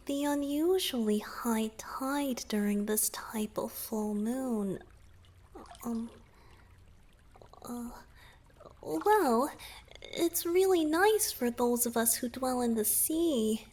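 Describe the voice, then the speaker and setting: A woman voicing a gentle young merman boyfriend in a romantic ASMR roleplay, soft-spoken and hesitant, often slipping into breathy whispers. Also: on the high side, animated, drawn-out words, long pauses, heavily acted. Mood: shy, explaining.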